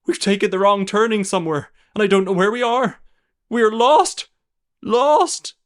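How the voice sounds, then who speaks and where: A man's voice: on the high side, lively intonation, moderate pace, pitched above the performer's own voice, close to an everyday voice. A man voicing a frightened nurse who speaks urgently and in panic. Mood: panicked. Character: frightened anxious woman nurse.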